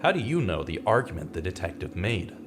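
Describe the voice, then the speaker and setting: A man playing a skeptical, dogged, brooding investigator in a vintage-style radio mystery, with a questioning, deliberate, dramatic delivery. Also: low-pitched, very animated, noisy background. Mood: skeptical, accusing. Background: music.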